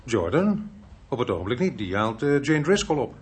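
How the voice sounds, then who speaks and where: A man's voice: medium pitch, big pitch swings, normal speed, a little hiss or hum, close to an everyday voice. An actor playing a weary, compassionate male police inspector in a radio drama, both speaking and narrating in the first person. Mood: businesslike. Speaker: actor in the lead and narrating role.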